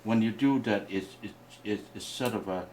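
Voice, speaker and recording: Deep-voiced man, halting and non-native. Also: a little mellow. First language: Mandarin. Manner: halting, many restarts and fillers. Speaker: painting teacher. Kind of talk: hesitant workshop explanation. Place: indoor workshop room. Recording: distant mic with faint noise.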